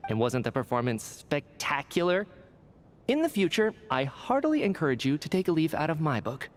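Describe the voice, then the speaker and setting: Cheeky, theatrical scientist in a reverberant hall. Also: male voice, high voice. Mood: playful, teasing. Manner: breezy, teasing, theatrical delivery. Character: playful work-shy scientist, cheeky and theatrical young man. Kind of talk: video game voice acting.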